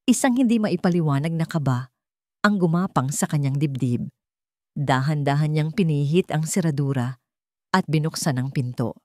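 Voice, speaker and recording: Female storyteller, low register, close dry sound, for scripted romantic drama narration. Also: moderate pace, warm tone, dry recording.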